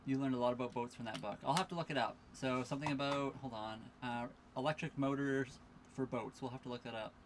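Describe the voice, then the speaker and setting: A young man hosts a casual livestream from the cabin of his sailboat, tied up at a marina. His mid-pitched voice sounds tired and laid-back as he reads out viewer questions and thanks followers. A faint hiss of room ambience sits under the recording. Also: fast talker. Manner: relaxed, chatty, thanks viewers for follows and gifts. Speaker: livestream host.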